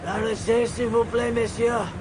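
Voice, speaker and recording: An actor playing a stern, polite gatekeeper with a French accent in a radio drama. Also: male voice, very high-pitched, flat delivery, moderate pace. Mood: grave. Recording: clear car and outdoor noise.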